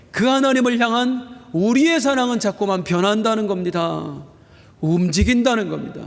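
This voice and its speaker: A male senior pastor preaching a Sunday sermon in earnest, slowing down for emphasis and rising on key phrases. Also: high voice. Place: church sanctuary. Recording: reverberant church hall.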